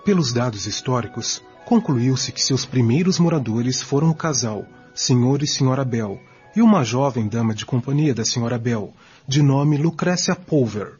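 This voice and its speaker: Casting: male narrator, extremely husky, mid-pitched, documentary-style radio drama script, lo-fi sound. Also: animated, normal speed, a little mellow. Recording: dull lo-fi audio over music.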